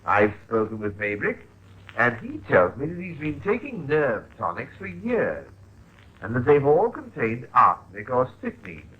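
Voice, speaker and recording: An actor playing an earnest, worried Victorian physician, speaking with urgent emphasis in 1950s radio drama style. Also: male voice, mid-range voice, wildly swooping pitch, unhurried. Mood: concerned. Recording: muffled old radio recording.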